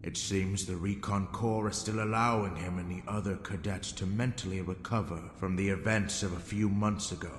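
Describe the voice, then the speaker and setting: Man acting a low, grave vice admiral. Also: steady tone, normal speed, few pauses, natural voice. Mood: formal. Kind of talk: sci-fi audio drama acting. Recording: echoey hall with long reverb.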